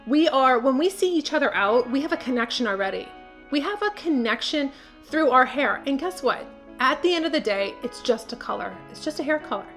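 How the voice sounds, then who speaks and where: A woman's voice: sits high, lively intonation, fairly quick, a bit bright, faint music behind. A woman hosting a beauty channel, speaking to camera and giving tips in an emphatic, encouraging way with repeated key words.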